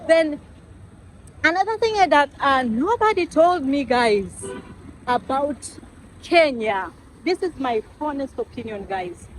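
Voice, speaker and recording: A young woman talks to her vlog viewers in a high, bright-sounding voice. She is chatty and casual, keeps calling them guys, and laughs easily. Faint street noise sits behind her outdoors. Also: rough recording. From Uganda. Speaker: travel vlogger. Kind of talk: casual vlog chat to camera.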